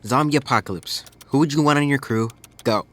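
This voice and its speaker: An actress playing a blunt, sarcastic teenager who judges quickly, in a family audio drama. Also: female voice, extremely low pitch. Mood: sarcastic, dismissive.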